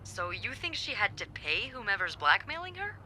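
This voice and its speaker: An actress playing a breezy, playful receptionist and nosy confidante in a video game. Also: female voice, medium pitch, very animated, normal speed, bright, forceful tone, slight background noise. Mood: playful, intrigued. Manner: playful, conspiratorial.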